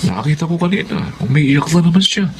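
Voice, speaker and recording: A Filipino actor playing a protective young adult older brother in a Tagalog radio drama. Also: male voice, a little high, expressive, brisk, noisy background, close to an everyday voice. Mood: concerned.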